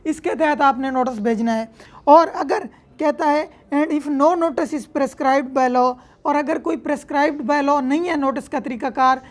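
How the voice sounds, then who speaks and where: High-pitched Pakistani woman giving a lecture. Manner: didactic, poses questions and answers them. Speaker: law lecturer. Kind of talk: explanatory lecture, reading statute text then explaining it.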